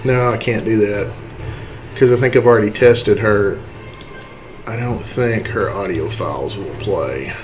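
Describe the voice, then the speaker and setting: A man's voice: lowish voice, measured pace, slight background noise. A man giving a casual, unscripted tutorial in a folksy, self-deprecating way. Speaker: tutorial host. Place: indoors, voice-over to screen capture.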